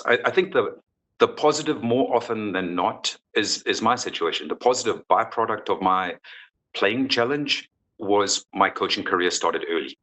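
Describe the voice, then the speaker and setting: Casting: low, forceful-toned man from South Africa, a coach in his forties, frank and halting. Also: low-quality recording. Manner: earnest, frank, halting with restarts. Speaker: football coach. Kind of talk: candid interview answers.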